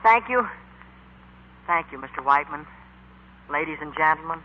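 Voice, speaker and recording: A man's voice: fairly high pitch, animated, normal speed, close to an everyday voice. A young American man playing an eager, earnest teenage bandleader, stammering and breathless, in 1940s radio acting style. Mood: grateful, moved. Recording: muffled, hissy 1940s radio recording.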